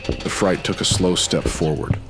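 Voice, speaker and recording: A man with a very deep voice reading scripted noir narration as a storyteller. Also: moderate pace. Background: music.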